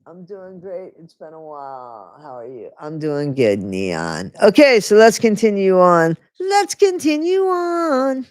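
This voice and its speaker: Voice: medium pitch, sing-song, measured pace, hardly any pauses, no room sound, studio quality. Sarcastic livestreamer reading a book aloud. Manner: sarcastic asides between read passages; chatty, reads aloud, often stops and rereads. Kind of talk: casual live reading with commentary.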